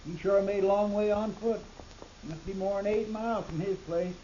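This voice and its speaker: Dark-toned folksy deputy played by a man. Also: high-pitched, steady tone, normal speed, close to an everyday voice. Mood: uneasy. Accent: American, rural Western drawl. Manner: folksy, deferential drawl. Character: loyal, folksy frontier deputy, plain-spoken and deferential. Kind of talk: 1950s radio western acting. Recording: muffled, faintly noisy vintage radio recording.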